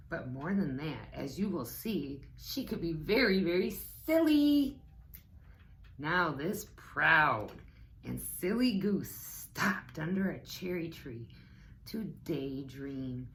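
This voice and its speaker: An American woman, middle-aged, reading a children's story aloud expressively and pausing to talk to her young listeners. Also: low-pitched, a bit bright. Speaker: teaching assistant reading to children.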